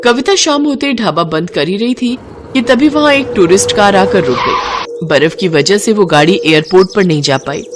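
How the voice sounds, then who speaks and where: Expressive man narrating a cartoon tale. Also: high voice, moderate pace, noisy background. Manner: expressive, melodramatic storytelling. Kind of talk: scripted cartoon story narration.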